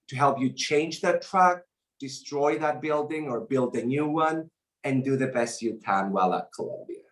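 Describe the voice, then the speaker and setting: A man's voice: a little high, poor audio. A man, a university professor, giving emphatic motivational advice to students in a webinar, often checking in with short tag questions.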